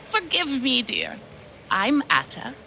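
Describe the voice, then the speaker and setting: Old woman, sugary and sly, bright voice, very animated pitch, theatrical game character, faint ambience behind. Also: gravelly, a little hiss or hum, exaggerated voice. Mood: doting. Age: older. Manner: doting, slow, theatrical. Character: sweet-talking, cunning old widow feigning gentleness. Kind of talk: video game cutscene acting.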